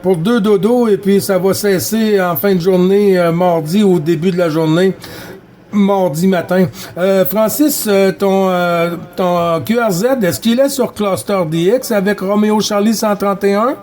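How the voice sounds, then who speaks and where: A man's voice: sits high, steady tone, a little slow, darkish tone. A man with a Quebec French accent, a CB radio operator chatting casually and repeating himself in a friendly, folksy way. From Quebec, Canada. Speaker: amateur CB radio operator running contacts.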